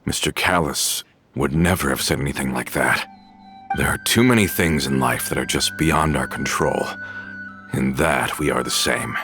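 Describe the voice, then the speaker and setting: An actor playing a gruff, resigned former gang member in a game scene. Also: male voice, very deep voice, normal speed, bright, forceful tone, soft background music, heavily acted. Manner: subdued, resigned. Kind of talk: game voice acting.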